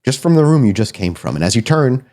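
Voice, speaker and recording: A man's voice: medium pitch, very animated, fast talker, a little mellow. A man narrating a tabletop horror game as game master, building suspense in short, broken phrases.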